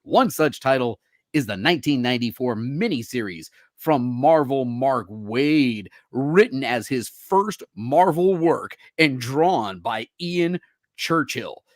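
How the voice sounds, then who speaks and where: A man's voice: medium pitch, no room sound, clean studio sound. A man co-hosting a comic book podcast, reading sales notes in an easygoing, slightly unsure way. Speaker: podcast co-host.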